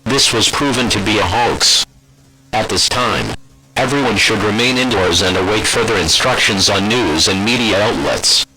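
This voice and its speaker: Low-voiced man reading an official alert. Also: normal speed, low-quality recording. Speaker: emergency alert announcer. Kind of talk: formal scripted emergency alert.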